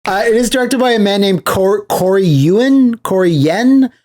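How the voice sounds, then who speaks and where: A man co-hosting a podcast, casually discussing movies in a halting way, often repeating phrases as he explains. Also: high voice, a little mellow. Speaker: podcast co-host.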